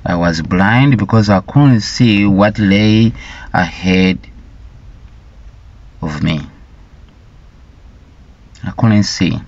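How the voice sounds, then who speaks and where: A middle-aged man from Rwanda telling his life story in a deliberate, halting way, often repeating words. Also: on the low side. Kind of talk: slow personal storytelling. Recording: dull lo-fi recording.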